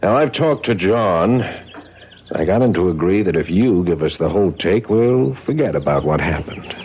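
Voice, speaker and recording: An actor playing an impatient, threatening gangster enforcer with slangy talk in a vintage radio drama. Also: male voice, sits low, animated, moderate pace, a little hiss or hum. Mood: smug threat. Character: menacing, impatient male gangster enforcer talking as an old pal. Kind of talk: 1970s radio drama acting. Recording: muffled old radio broadcast.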